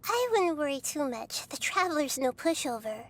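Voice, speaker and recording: A woman voicing a small, calm and wise young goddess who explains things gently. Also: high voice, moderate pace, heavy background noise. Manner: calm, soft-spoken and explanatory, sometimes whispery.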